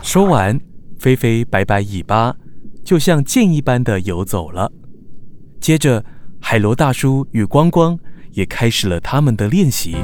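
A man narrating a children's audio drama as an expressive storyteller. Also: medium pitch, a little slow, slight background noise. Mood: bright storytelling.

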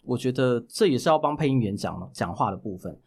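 A man with a Taiwanese Mandarin accent, explaining things as a guest in a casual interview, often halting and correcting himself. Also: mid-range voice, lively intonation, husky. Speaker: guest voice actor.

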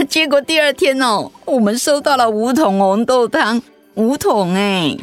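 An expressive, pouty Taiwanese wife. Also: female voice, on the high side, measured pace, soft background music. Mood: grateful, reminiscing. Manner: pouting, questioning. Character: sensitive, pouty middle-aged wife, affectionate but easily hurt. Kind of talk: radio drama dialogue.

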